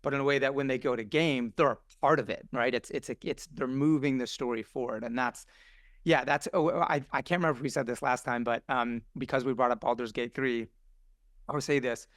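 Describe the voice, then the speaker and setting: A man's voice: mid-range voice, fairly even intonation, brisk, no room sound. Enthusiastic man talking on a podcast, dry sound. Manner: enthusiastic, punctuates points with "right?". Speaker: guest, game developer pitching a project. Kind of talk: casual podcast conversation.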